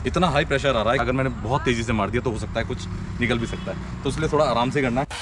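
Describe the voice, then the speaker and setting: A man's voice: mid-range voice, rapid-fire. A young man giving an eager, step-by-step casual demonstration of equipment while working outdoors beside a car. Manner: eager, explains step by step while handling gear. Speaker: product reviewer. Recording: noisy outdoor recording with spraying washer.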